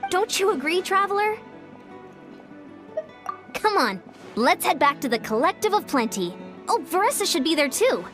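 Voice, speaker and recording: A woman's voice: very high voice, big pitch swings, moderate pace, pauses often, a bit bright, soft background music, full character voice. A woman playing a friendly, upbeat young warrior in an anime-style video game, speaking expressively. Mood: reassuring, upbeat.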